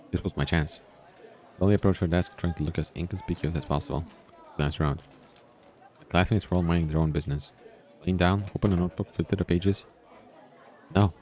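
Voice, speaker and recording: A man's voice: low-pitched, a little mellow. A man reading a visual novel aloud as a streamer, with casual asides, in a somewhat strained, tired voice. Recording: background music.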